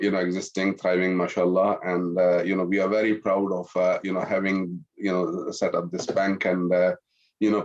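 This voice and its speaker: A man's voice: low-pitched, a little mellow, muffled recording. A middle-aged man from Pakistan and France speaking courteously and conversationally as a webinar guest, often adding small asides. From Pakistan-born, grew up in France. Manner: courteous, with frequent "you know" asides. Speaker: webinar guest, Islamic finance professional. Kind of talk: conversational personal introduction in a webinar.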